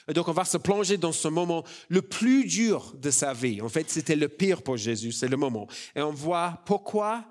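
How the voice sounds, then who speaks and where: Young Australian-accented male preacher, slightly high voice, unhurried, chatty and personal. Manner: relaxed and personal, many fillers and self-corrections. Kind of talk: sermon.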